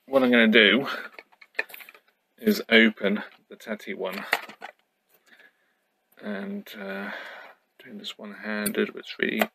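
A man's voice: lowish voice, pushed and bright, low-quality recording. A middle-aged man giving a casual, unscripted show-and-tell, musing hesitantly as he goes. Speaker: YouTube host. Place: indoors at home.

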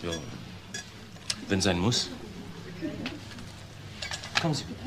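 An actor playing a nervous, evasive waiter in a crime drama, talking in a halting way. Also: male voice, deep voice, lively intonation. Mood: wary. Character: evasive, nervous male waiter questioned by police.

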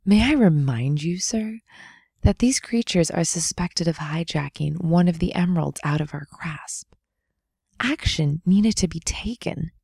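A woman playing a proud, hot-headed military general in an audio drama, formal and defiant, with a husky voice. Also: on the low side, a little slow, a little mellow, no room sound, clean studio sound, full character voice. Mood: defensive, formal.

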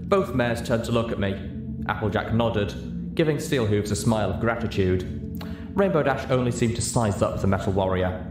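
A man reading scripted first-person story narration as an audiobook narrator. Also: lowish voice. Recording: roomy sound. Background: music.

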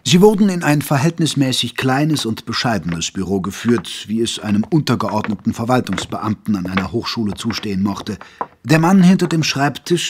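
A man with a husky voice narrating a scripted German audio drama. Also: fairly low pitch, noisy background.

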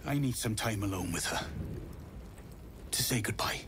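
An actor playing a grieving young husband who asks for help. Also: male voice, fairly low pitch, lively intonation, moderate pace, background noise. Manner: grieving, pleading.

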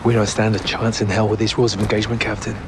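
An actor playing a frustrated young British sergeant, speaking bluntly and with indignation. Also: male voice, lowish voice, level tone, racing, background noise, natural voice. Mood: bitter, frustrated.

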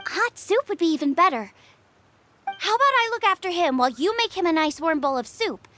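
Very high, bubbly girl chef. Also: big pitch swings, brisk, background noise. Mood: brisk, concerned. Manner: enthusiastic, confident, bubbly. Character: eager, cheerful young girl chef. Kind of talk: animated video game dialogue.

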